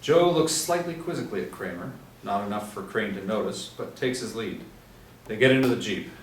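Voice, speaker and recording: A man's voice: lowish voice, animated, a little fast. A man reading out scripted screenplay action and stage directions as the narrator. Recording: echoey room, distant mic, faint noise.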